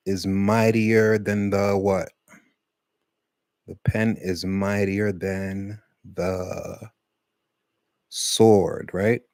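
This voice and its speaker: A man teaching English to adult learners in a loose, rambling talk, full of fillers and slowing down to stress key points. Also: on the low side, darkish tone, no room sound, clean studio sound. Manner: conversational, many fillers, speeds up and slows for emphasis. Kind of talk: casual rambling lesson talk.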